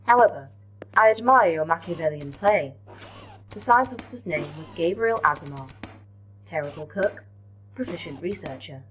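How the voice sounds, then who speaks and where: A woman's voice: lowish voice, lightly acted, rough recording. An actress voicing a formal, wry female station AI in a sci-fi horror audio drama. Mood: wry, composed. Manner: formal, measured wording, deadpan.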